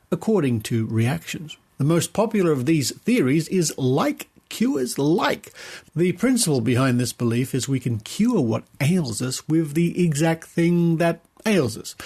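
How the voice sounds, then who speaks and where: Man, slightly raised pitch, podcast presenter, scripted article reading, deliberate phrasing, stressed key words. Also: a little mellow, husky.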